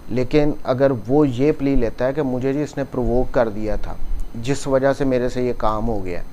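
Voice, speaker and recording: A man's voice: mid-range voice, moderate pace, dark tone, a little hiss or hum. A man with a Pakistani accent giving an explanatory law lecture, mixing in English legal terms and carefully read passages. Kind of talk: explanatory law lecture, reading statute clauses and explaining them.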